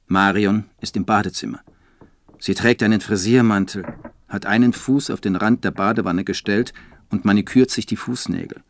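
An actor playing a weary writer, narrating a bleak diary in a terse, measured way for a radio drama. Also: male voice, fairly low pitch, close to an everyday voice. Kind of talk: literary first-person radio drama narration. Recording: faint background noise.

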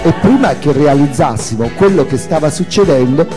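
A man's voice: on the high side, lively intonation, normal speed, in a large hall, over music. A man giving a theatrical reading of autobiographical prose as its author and narrator, with dramatic, emphatic phrasing.

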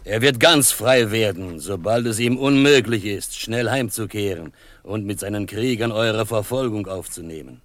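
An actor playing a proud, solemn chief held prisoner in a western radio drama, speaking formally and with measured gravity. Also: male voice, on the low side, fairly bright tone, no echo, close to an everyday voice. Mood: solemn, earnest. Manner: formal, measured, speaks of himself in third person.

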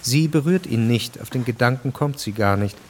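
An actor narrating a historical radio drama as a sober storyteller, measured and matter-of-fact. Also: male voice, medium pitch, slight background noise, lightly acted.

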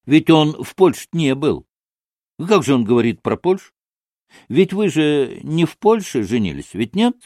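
Guy doing overexcited young gent in an audiobook, childish ringing high voice, argues eagerly. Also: sing-song, unhurried, a little mellow, dry recording, clean studio sound. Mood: insistent, excited. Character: excitable, childlike young gentleman, earnest and argumentative.

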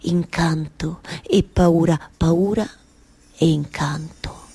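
A woman's voice: low voice, fairly even intonation, slow, creaky, warm tone, breathy edge, pitched below the performer's own voice, heavily acted. A woman with a Roman Italian accent playing a weary, yearning wife who weaves stories, in an incantatory theatrical monologue. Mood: sorrowful wonder. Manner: incantatory repetition, shifting from murmur to insistence. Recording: live music behind the voice.

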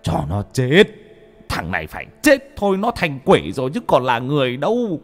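A man voicing a stern, hot-tempered old father who shouts and threatens. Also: mid-range voice, huge pitch swings, moderate pace, pitched above the performer's own voice. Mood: furious.

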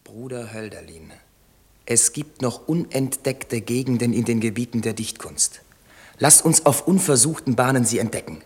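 Ardent poet in reverberant hall. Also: male voice, medium pitch, expressive, moderate pace, darkish tone, breathy edge. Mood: fervent. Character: ardent male poet friend, lofty and enthusiastic. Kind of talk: declaimed literary radio play. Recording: long reverberant hall echo.

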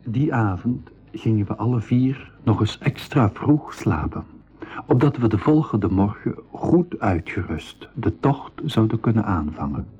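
A man's voice: fairly low pitch, lively intonation, a little slow, dark tone, husky. A man narrating a scripted adventure story for school radio, on a slightly dull 1980s recording.

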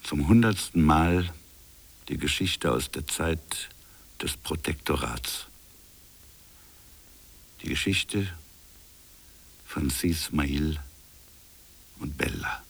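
A colonial official in a radio drama, with an extremely low voice. He is defiant yet reflective, and sets out his words slowly and solemnly, like literature told aloud. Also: gravelly, slightly rough, heavily acted. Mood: brooding, solemn.

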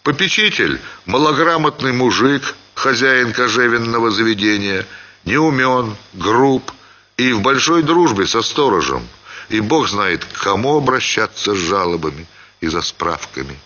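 A man reading a literary short story as expressive scripted narration, with dramatic, theatrical phrasing. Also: medium pitch, unhurried, highs cut off, slight background noise.

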